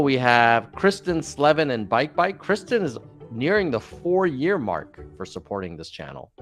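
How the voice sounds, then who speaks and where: A man's voice: lowish voice, fairly bright tone. A man hosting a casual news commentary show, talking in a chatty way with offhand asides.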